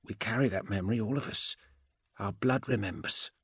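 A man's voice: lowish voice, moderate pace, slightly rough, no room sound, clean studio sound. A man voicing a cool, mocking gene witch woman in a science fiction audiobook, speaking in a wry, portentous and self-assured manner. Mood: solemn, reflective. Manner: wry, portentous, sure of herself.

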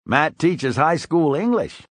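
Man doing a loud drunk old barfly. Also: on the high side, lively intonation, slow, no room sound, studio quality, pitched above the performer's own voice. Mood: boozy. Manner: bawling, slurred folksy talk.

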